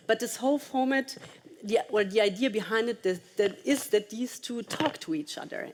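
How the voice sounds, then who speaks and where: Woman moderator doing intros, friendly, kinda hesitant, corrects herself. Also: mid-range voice. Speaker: event moderator. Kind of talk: introducing a panel talk.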